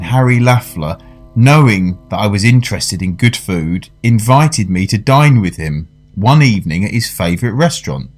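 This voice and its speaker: A man acting an urbane gentleman narrator of a radio horror story. His voice sits low, and he tells the tale in a measured, theatrical way, with music playing clearly behind him. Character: genteel male gourmet narrator recounting an eerie tale.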